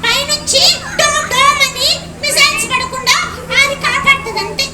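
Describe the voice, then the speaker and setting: A woman's voice: extremely high pitch, steady tone, normal speed. A woman voicing a cheeky, chatty little kid puppet in a live puppet show, teasing and sing-song. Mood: playful. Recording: echoey, distant, noisy hall.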